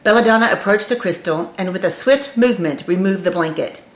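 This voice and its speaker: A woman's voice: on the low side, brisk. A woman reading a scripted story aloud as an audiobook narrator. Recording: roomy, echoing room.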